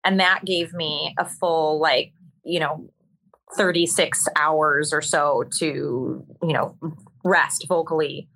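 Bright-voiced woman telling candid stories. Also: low voice, fairly even intonation, slow pace, vocal fry, a little hiss or hum, rough recording. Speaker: interview guest, musical theater performer. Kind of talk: candid conversational storytelling.

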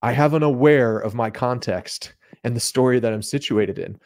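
A man's voice: mid-range voice, normal speed, a little mellow. A man talking casually and explaining ideas as a podcast guest, colloquial and given to voicing imagined remarks. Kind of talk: casual theological conversation.

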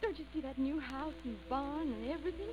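Lady acting headstrong tennessee country gal, high pitched, old noisy radio play. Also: brisk, dull audio, natural voice. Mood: indignant. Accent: rural Tennessee. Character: headstrong, loving young mountain sweetheart. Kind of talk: 1940s radio drama acting.

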